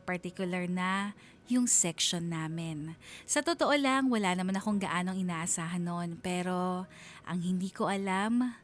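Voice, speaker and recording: A woman's voice: fairly low pitch, unhurried. A woman narrating a scripted radio drama story in a confiding, reflective manner.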